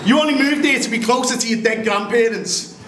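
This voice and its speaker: A young man with a Liverpool accent, delivering a cappella battle rap loudly and forcefully in a brash manner. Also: very high-pitched, a little fast, a little hiss or hum. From Liverpool, England. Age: young adult. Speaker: battle rapper. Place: crowded indoor club venue. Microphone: overhead boom. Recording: echoey venue with loud crowd.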